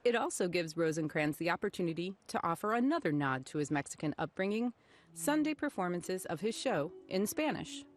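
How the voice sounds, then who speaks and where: A woman reporter reading a scripted news feature narration in a measured, expressive way. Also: lowish voice.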